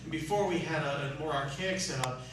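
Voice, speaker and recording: A man hosting an audience question session for a theatre troupe, telling anecdotes in an animated, joking way. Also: a little high, fairly quick. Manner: animated, joking, often laughing. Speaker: radio theatre troupe founder and host. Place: live hall with an audience. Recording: echoey hall, distant mic, noisy.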